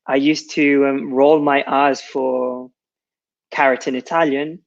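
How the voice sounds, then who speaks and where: A man's voice: medium pitch, little pitch movement, takes it slowly, lo-fi sound. A man teaching English in a casual online lesson, patiently correcting pronunciation and joking with his co-host.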